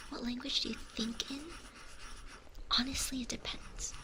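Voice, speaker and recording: Soft whispering young woman, close mic. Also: a little high, little pitch movement, moderate pace, rough recording. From United States. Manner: gentle, with small laughs and restarts, greeting chat viewers. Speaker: ASMR streamer. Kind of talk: whispered ASMR live stream chat. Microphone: close sensitive ASMR microphone.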